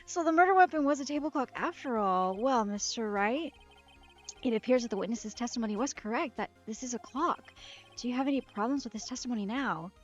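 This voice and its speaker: An old male judge speaks with formal, measured authority, voiced by a woman. The sound is slightly dull, with game music playing underneath. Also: fairly high pitch, lightly acted.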